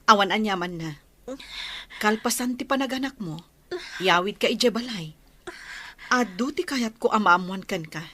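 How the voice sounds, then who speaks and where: An actress playing a caring, take-charge medical professional in an Ilocano radio drama, firm and reassuring. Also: female voice, medium pitch, lively intonation, normal speed, long pauses, fairly bright tone.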